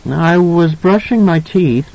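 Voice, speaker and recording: A man voicing a frightened, confused, earnest little boy in an audiobook, with a halting, pleading delivery. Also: on the high side, gravelly, darkish tone, pitched above the performer's own voice, full character voice. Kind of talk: audiobook character voice. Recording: slightly dull, lo-fi audio.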